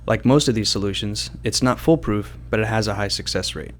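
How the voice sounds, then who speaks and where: Male game developer, scripted commentary, fast-paced, lowish pitch.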